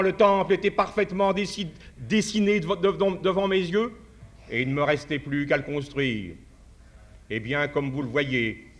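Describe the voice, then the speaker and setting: A man delivers a formal address at a writers' congress, with a somewhat high voice. He speaks in a measured way, retells a parable, and restarts phrases as he searches for words. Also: fairly bright tone. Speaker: conference speaker. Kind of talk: formal speech at a podium.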